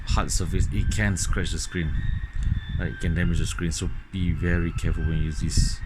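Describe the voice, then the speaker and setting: Deep-voiced Singaporean man demoing a gamepad. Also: steady tone, normal speed, heavy background noise. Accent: Singaporean English. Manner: chatty, thinking aloud with many okays and fillers. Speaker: gadget reviewer. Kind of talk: casual hands-on product demo. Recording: loud game music behind. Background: loud game music.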